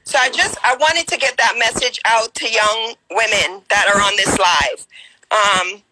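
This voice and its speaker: A woman in her forties with a Guyanese accent speaks earnestly, pausing and restarting as she shares a personal story on a live stream call. Her voice is bright and sits a little high. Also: slight background noise, poor audio. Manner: earnest, hesitant, many ums and restarts. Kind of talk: casual personal testimony.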